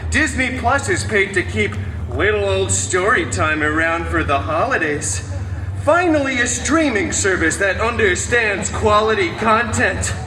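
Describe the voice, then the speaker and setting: A man's voice: sits high, lively intonation, a little slow, noisy background. A man playing a snarky masked antihero who hosts a live storytime show, joking sarcastically at the audience. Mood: sarcastic. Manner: sarcastic, theatrical, fourth-wall jokes. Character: wisecracking masked male antihero, snarky and hammy. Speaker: live stage show performer. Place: outdoor theme park stage. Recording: distant mic, roomy, music behind.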